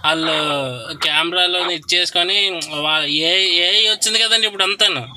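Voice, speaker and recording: A man making a prank sales call in a polite, halting but insistent way. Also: high voice, background noise. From Godavari districts, Andhra Pradesh, India. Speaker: prank caller posing as hospital telemarketer. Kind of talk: prank phone call, mock sales pitch.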